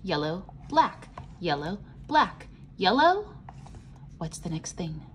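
A woman reading a picture book aloud to children and explaining it simply, in a sing-song, teacherly way with clear enunciation. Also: fairly low pitch, very animated, takes it slowly, a little hiss or hum. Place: indoors, at a table.

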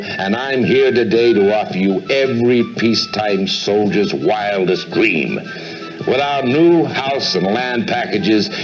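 An actor playing a middle-aged American property developer delivering a grandiose, persuasive 1940s radio advertisement. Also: male voice, mid-range voice. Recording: dull old radio, music behind.

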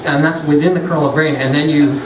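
A man's voice: a little high, fairly even intonation, quick pace, distant mic, crowd noise. Man, brewer, pub science talk, chatty and joking, roomy hall sound. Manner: loose and chatty, joking asides, frequent restarts. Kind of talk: casual lecture to a pub audience. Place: pub with an audience. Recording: roomy, off-mic, loud background.

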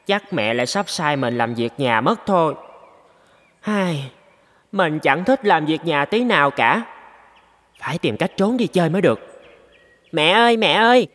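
An actor playing a playful, mischievous little boy elephant in a children's cartoon, with a childlike, expressive delivery. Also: mid-range voice, a little slow, long pauses, no echo. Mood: grumbling, sly, then polite, sweet. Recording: music bed behind the voice.

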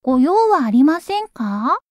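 Woman voicing a very high-pitched little crab barber. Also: sing-song, darkish tone, slight background noise. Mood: cheerful, polite. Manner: sing-song, polite, drawn-out calls. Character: small eager crab barber, politely peddling his trade. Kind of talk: children's storybook reading.

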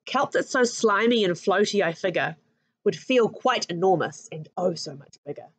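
A woman with a New Zealand accent voicing a calm mother in a storybook, soothing and explaining in rhyme. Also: medium pitch, moderate pace, dry recording. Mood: reassuring. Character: calm, reassuring mother explaining sea life.

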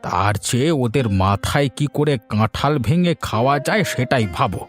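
A man's voice: mid-range voice, expressive, normal speed, soft background music, lightly acted. A man playing a crafty, freeloading village husband, smug and wheedling, in a fairy-tale cartoon. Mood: persuasive.

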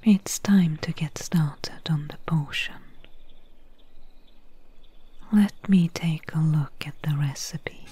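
A secretive swamp witch and healer, played by a woman, whispers in a dark, mellow voice. She speaks extremely slowly and deliberately, with a very breathy, hushed tone made for ASMR roleplay. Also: deep voice, dry recording, full character voice. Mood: calm, focused. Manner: slow, hushed, deliberate storytelling. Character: soft-spoken female swamp witch, secretive, helpful healer.

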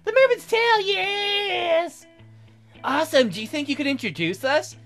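A man's voice: very high-pitched, animated, unhurried, creaky, fairly bright tone, faint music behind, voice pushed up, heavily acted. A man voicing a brash, eager young punk band girl with a bold, pushy manner in a visual novel game. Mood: excited.

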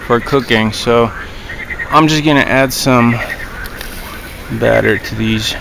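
A relaxed man in his thirties narrates his riverside cooking in a slightly gravelly, mid-pitched voice. He talks easily to the camera while he works. The outdoor camera audio is noisy, with frogs and nature sounds behind him. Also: slow. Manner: easygoing, talks to viewers while working. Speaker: outdoor vlogger. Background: outdoor ambience, frogs.

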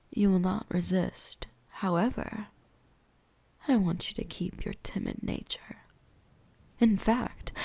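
A woman voicing a possessive, teasing vampire huntress in an ASMR roleplay, breathy and whispery, with a deliberate, softly menacing delivery. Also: medium pitch. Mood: hypnotic, commanding.

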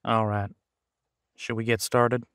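Even-toned man, a steady detective played by an actor. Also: mid-range voice, slow pace, clean tone, no echo, clean studio sound. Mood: matter-of-fact. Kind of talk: audio drama acting.

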